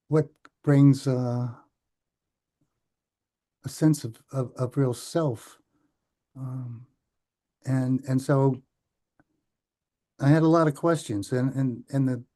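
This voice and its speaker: An American man in his seventies recalling his life as a podcast guest, speaking in a halting, thoughtful way that often trails off. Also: medium pitch, dark tone. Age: 70s. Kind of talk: reflective interview recollection.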